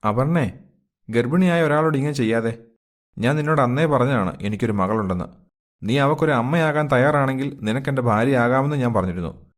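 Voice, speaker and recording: A man's voice: medium pitch, natural voice. A man playing a loving, protective middle-aged father in a moral story, with an earnest, affectionate but firm manner.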